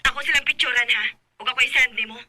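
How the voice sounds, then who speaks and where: A woman's voice: sits high, fast talker, heavy background noise, lightly acted. An actress playing an urgent, tattling woman friend on the telephone in a Visayan radio drama, with a Cebuano Bisaya accent.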